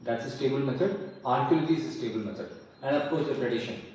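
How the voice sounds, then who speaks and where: A man's voice: mid-range voice, fast talker, darkish tone, slight background noise. Man, lecture, patient explanations, repeats himself, echoey room, far from the mic. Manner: explanatory, repeats key phrases. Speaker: lecturer on history and archaeology. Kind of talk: lecture with audience questions. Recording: echoey hall, mic far off, faint ambience.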